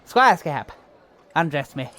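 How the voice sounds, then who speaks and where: A man's voice: fairly high pitch, sing-song, slow, a crowd in the background, background noise. A man playing a pompous, gung-ho medieval knight who speaks in mock-archaic style in a comic audio drama. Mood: undaunted bravado.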